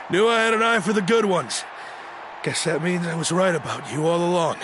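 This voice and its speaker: A man's voice: high-pitched, normal speed, background noise, lightly acted. An actor voicing a gracious, self-assured male fighter in a video game. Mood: rueful, approving.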